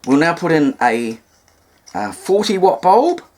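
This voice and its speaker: A man's voice: on the high side, very animated, a little slow, a little mellow, slight background noise. Man giving an informal hands-on demonstration. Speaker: hobbyist presenter demonstrating radio repair.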